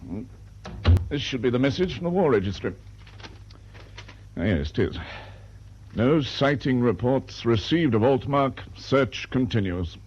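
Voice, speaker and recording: An actor playing a senior British naval officer in a radio drama, measured, formal and understated. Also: male voice, medium pitch, expressive, a little mellow, husky. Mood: concerned, grave. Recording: dull, faintly noisy radio recording.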